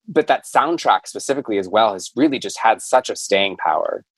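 A man's voice: fairly low pitch, lively intonation, a little fast, a bit bright, breathy edge, dry recording. A man hosting an interview, asking questions in a friendly, conversational way. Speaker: interviewer host.

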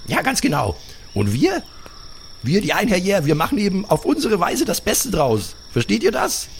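Guy doing eager young warrior dude, high voice, super earnest and hyped, role-play audio, bit of noise. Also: wildly swooping pitch, normal speed, gravelly, full character voice. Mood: fervent.

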